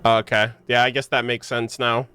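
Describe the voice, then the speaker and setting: A man's voice: mid-range voice, level tone, moderate pace, bright, forceful tone, soft background music. A man giving casual, slangy live reactions while playing a game, muttering asides on a close mic. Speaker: game streamer.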